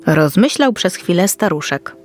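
A woman's voice: lowish voice, expressive, measured pace. A woman reading a scripted children's story as narrator, in a careful storytelling manner. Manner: storytelling, descriptive, carefully read. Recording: soft music under the voice.

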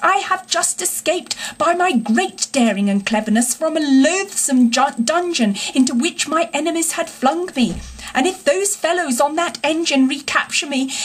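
A woman's voice: high voice, pitched above the performer's own voice. A woman voicing a vain, panicky male toad in a storybook, grandiose, self-pitying and pleading.

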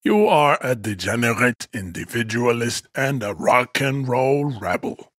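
A man's voice: mid-range voice, expressive, unhurried, no echo, clean studio sound. An actor playing a pompous, stoic strongman in a video game, declaiming haughty, grandiose speeches. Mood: scornful. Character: pompous, stoic, hulking male race-theorist strongman. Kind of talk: video game character acting.

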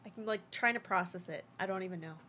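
A young adult woman, a game streamer, giving a gushing, excitable live reaction in casual chat. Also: medium pitch, quick pace, slight background noise. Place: small indoor streaming room. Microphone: desk boom microphone, headphones on. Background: game trailer music.

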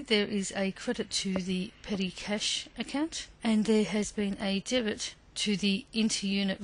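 A woman giving instructional narration as the presenter of a business software demo. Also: mid-range voice, low-quality recording.

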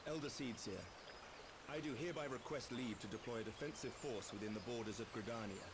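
A man's voice: mid-range voice, fairly quick, noisy background, lightly acted. A man playing an earnest, courtly knight lord in a fantasy game, with formal, archaic, dignified speech. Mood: resolute. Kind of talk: scripted fantasy game acting. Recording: birdsong and outdoor ambience behind.

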